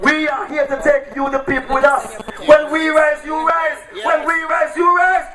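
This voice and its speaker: A man with an Antiguan accent shouting a political campaign speech at an outdoor rally, hammering repeated rallying cries. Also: extremely high pitch, level tone, normal speed. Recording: echoey, distant, loud crowd.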